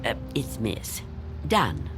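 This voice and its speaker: Fretful reclusive spinster, wildly swooping voice. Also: female voice, very deep voice, huge pitch swings, slow pace, music in the background, exaggerated voice. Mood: nervous, evasive. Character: frail, anxious, reclusive spinster, secretive and gently odd. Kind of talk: radio drama acting.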